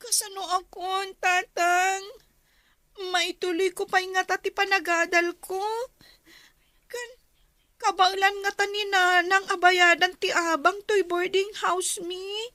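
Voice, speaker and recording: An actress playing an earnest, pleading young student daughter in a radio drama, respectful but plaintive. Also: female voice, extremely high pitch, flat delivery, slow pace, bright, forceful tone, dry recording, studio quality, exaggerated voice. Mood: pleading, concerned.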